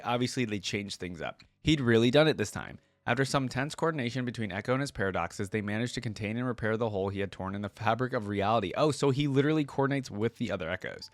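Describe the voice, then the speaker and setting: A man's voice: mid-range voice, dry recording. A man hosting a reaction video, switching between reading aloud and casual conversational commentary. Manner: conversational asides between read-out passages. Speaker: YouTube host reacting to game lore.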